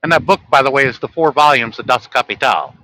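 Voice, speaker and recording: Earnest, bright-voiced man lecturing viewers. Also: mid-range voice, fast talker, no room sound, poor audio. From United States. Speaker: host of a livestream channel. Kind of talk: livestream monologue, reading from notes.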